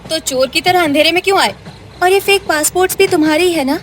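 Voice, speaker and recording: A woman's voice: very high-pitched, fast, a little hiss or hum. A woman playing frightened, protective young female relatives in a melodramatic story, anxious and urgent.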